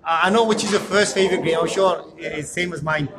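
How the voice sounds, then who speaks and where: A man hosting a live show from a snooker centre, speaking loudly and apologizing for the noise. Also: high voice, racing. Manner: loud, apologetic, juggles calls. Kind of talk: live broadcast chat. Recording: noisy snooker hall with crowd.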